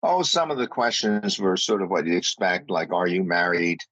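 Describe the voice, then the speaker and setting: A man's voice: low-pitched, very animated, moderate pace, fairly bright tone, no room sound. A man speaking as a podcast guest, earnest and rambling, with many restarts and small laughs. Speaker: guest, company CEO. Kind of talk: reflective interview talk.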